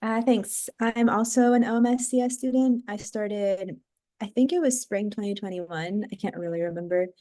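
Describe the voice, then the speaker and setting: A woman introducing herself casually as a team lead on a video call, relaxed and a little unsure. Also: medium pitch, moderate pace, no echo.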